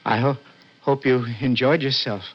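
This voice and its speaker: A man's voice: mid-range voice, fairly even intonation, lightly acted. A man playing a desperate young imprisoned poet in earnest, dramatic 1960s radio suspense style. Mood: wary, incredulous. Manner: intense, earnest, dramatic, halting when startled. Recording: dull old radio recording, prominent music.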